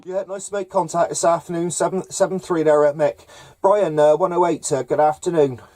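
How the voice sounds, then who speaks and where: A man with a hesitant, halting delivery, making casual CB radio contacts with call signs and jargon. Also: fairly high pitch, steady tone, very noisy. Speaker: amateur CB radio operator running contacts. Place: camper van up in the hills.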